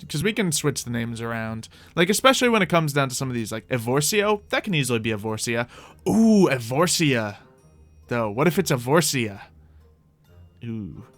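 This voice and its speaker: A man's voice: medium pitch, sing-song, normal speed, fairly bright tone, no echo, faint music behind. A man giving casual, rambling gameplay commentary as a video host, thinking aloud in a playful way. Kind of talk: casual rambling Minecraft let's play commentary.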